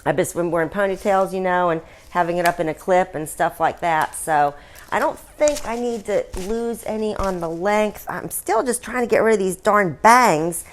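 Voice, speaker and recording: A woman's voice: fairly low pitch, moderate pace, slight background noise. A middle-aged or older woman vlogging in a casual, chatty, folksy way, like a storyteller talking to her viewers.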